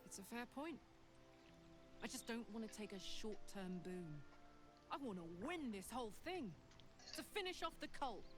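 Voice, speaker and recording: A woman's voice: a little high, expressive, moderate pace, pauses often, natural voice. Actress as a blunt warrior woman over music. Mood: determined. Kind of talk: video game dialogue acting.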